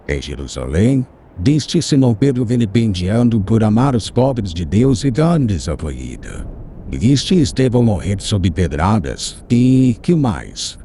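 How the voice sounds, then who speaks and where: Casting: a man playing a gentle, wise old master for a storybook reading; very low, mellow voice with lively phrasing. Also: a little hiss or hum. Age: older. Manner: solemn, tender counsel. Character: venerable elderly male teacher, gentle and wise. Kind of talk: audiobook character reading.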